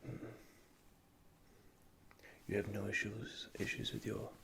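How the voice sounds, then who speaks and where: Man, low and very gravelly whisper, calm methodical technician for an ASMR roleplay, close mic. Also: slow, noisy background. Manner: soft, calm, methodical, speaks in technical jargon. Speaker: ASMR creator playing an android repair technician.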